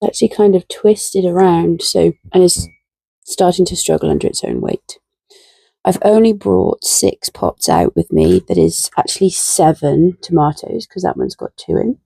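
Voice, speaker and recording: A woman with a croaky, tired voice chatting casually and a little self-deprecatingly while potting up seedlings in her garden shed. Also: fairly low pitch, big pitch swings, normal speed, mellow, no echo, low-quality recording. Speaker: gardening vlogger.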